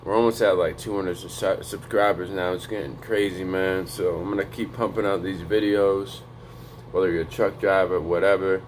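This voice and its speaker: Young male vlogger, deep and flat, quiet casual street talk, slight background hum. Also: moderate pace. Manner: low, quiet monotone to avoid waking a neighbor. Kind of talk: motivational vlog talk. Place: home in North Dakota.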